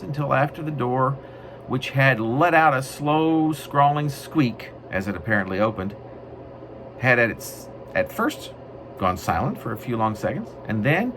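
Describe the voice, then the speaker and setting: A man with a mid-range voice that swings widely in pitch speaks as a poet presenting his own work. His phrasing is deliberate and emphatic. The recording is amateur, with clear background noise. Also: fairly bright tone. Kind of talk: dramatic poetry reading with casual spoken introduction.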